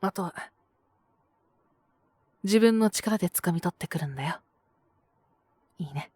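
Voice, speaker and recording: A woman voicing a calm, protective male owner who talks in a gentle, measured, softly teasing way in an audio drama. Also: on the low side, slightly rough, no room sound. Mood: approving. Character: calm, protective adult male bar owner mentoring a youth. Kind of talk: Japanese situational audio drama acting.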